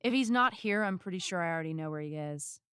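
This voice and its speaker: A woman's voice: on the low side, lively intonation, fast talker, a bit bright, smooth. An actress playing a fed-up, brusque and sarcastic female sidekick in an audio drama. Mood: irritated, sarcastic. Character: blunt, sarcastic, fed-up cyborg-armed sidekick woman. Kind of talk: scripted audio drama acting.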